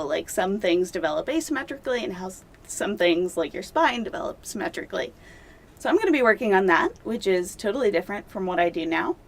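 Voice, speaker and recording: A young woman, a doctoral candidate, talking casually in an interview, chatty and self-deprecating with many fillers, seated in a dark studio. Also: lowish voice. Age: young adult.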